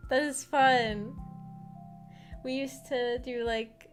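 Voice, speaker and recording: A young adult woman livestreaming in a casual, halting, rambling chat with her viewers over background music. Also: sits high, steady tone. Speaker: streamer hosting a visual novel book club.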